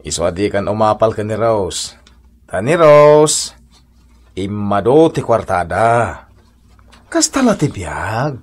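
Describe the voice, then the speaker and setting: A man playing a poor, resigned husband and father in a radio comedy drama, sighing and philosophising, with an Ilocano accent and a husky voice. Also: on the low side, sing-song, slow, long pauses, full character voice. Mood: earnest.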